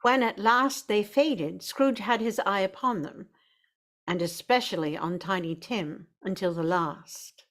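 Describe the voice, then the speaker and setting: A woman narrating a radio drama as a storyteller, in a measured, literary manner. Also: mid-range voice, fairly bright tone.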